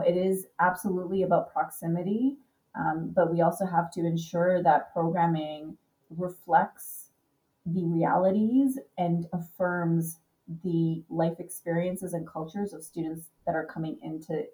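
A woman's voice: fairly low pitch, warm tone, distant mic, rough recording. A woman researcher thinking aloud in a panel discussion, circling back and hedging as she builds her point.

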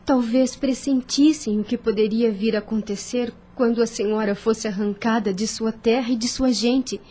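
An actress playing a sweet, meek, anxious young heiress in a radio drama, earnest and questioning, with a soft breathy voice. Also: female voice, on the high side. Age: young adult.

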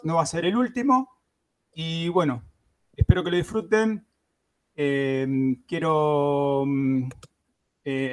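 A man hosting a gaming podcast, talking casually with lots of fillers and restarts. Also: a little high. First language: Spanish. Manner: hesitant, many fillers and restarts. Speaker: podcast host.

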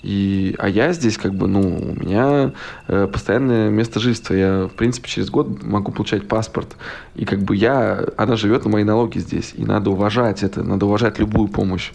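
A man's voice: lowish voice, fairly even intonation, brisk, vocal fry, darkish tone. A man of about thirty from Russia, a podcast guest rambling in casual chat, full of fillers, restarts and blunt profanity.

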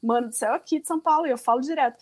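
A woman's voice: high-pitched, racing. A woman in her forties from Rio de Janeiro with a Carioca accent, a guest telling her story in a casual, chatty, self-deprecating way. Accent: Carioca Brazilian Portuguese. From Rio de Janeiro, Brazil. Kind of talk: casual interview chat.

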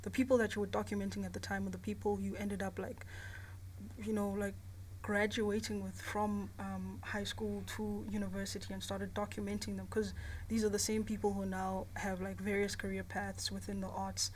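A South African woman hosting a podcast, speaking in a casual, chummy way with relaxed slang. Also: mid-range voice, moderate pace, slight background noise, poor audio. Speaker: podcast host. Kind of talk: casual podcast introduction and interview.

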